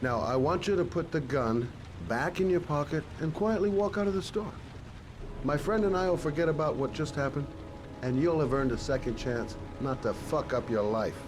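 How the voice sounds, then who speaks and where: An actor playing a calm middle-aged private detective who talks a robber down in a soothing, persuasive way. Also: male voice, a little high, moderate pace, lightly acted. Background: game music.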